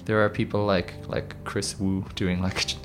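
Male band member, lowish voice, casual rambling interview guest. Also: little pitch movement, normal speed, music in the background. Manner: rambling, many restarts and fillers.

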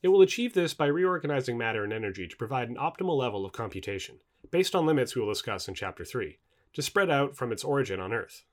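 A man reading scripted nonfiction as an audiobook narrator, with formal, measured enunciation. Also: mid-range voice, animated.

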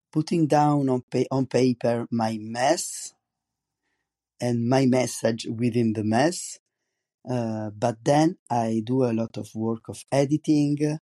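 Slow, soft-toned Italian-accented man. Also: mid-range voice. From Italy. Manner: halting, many fillers and restarts in non-native English. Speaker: podcast host, comics artist. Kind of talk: solo podcast monologue.

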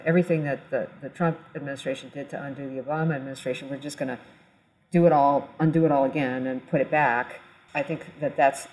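A woman with a mellow, relaxed voice explains policy in a conversational way, as an expert on a podcast panel. There is faint noise behind her. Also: a bass voice, low-quality recording.

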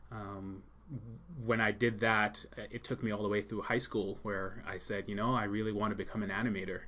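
A man born in Jamaica with a fairly low voice and no strong accent, talking in a relaxed podcast interview. He speaks softly and thoughtfully, filling pauses with ums as he searches for words. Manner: soft-spoken, many ums, thoughtful pauses. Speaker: school leader and art teacher.

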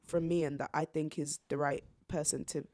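Low-voiced young woman, thoughtful guest. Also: level tone, fairly quick, dark tone. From born in Zambia, raised in England. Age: young adult. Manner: thoughtful, earnest, says "like" often. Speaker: podcast guest. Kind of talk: casual reflective interview.

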